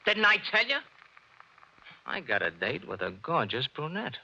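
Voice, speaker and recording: An actor playing a cocky, wisecracking tough detective, in 1940s radio acting. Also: male voice, a little high, huge pitch swings, moderate pace, vocal fry, lo-fi sound, loud music behind the voice, full character voice. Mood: brisk. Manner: cocky, needling.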